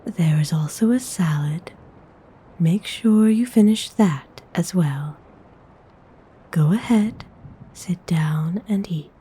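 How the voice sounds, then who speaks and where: A courteous vampire noblewoman, acted by a woman for an ASMR roleplay, doting yet in command. Her voice is very breathy and often drops to a whisper, and it sits on the low side. She speaks slowly and formally, like someone used to being obeyed. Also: exaggerated voice. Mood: politely insistent. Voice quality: soft, breathy, mostly whispered. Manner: genteel, unhurried, formal wording.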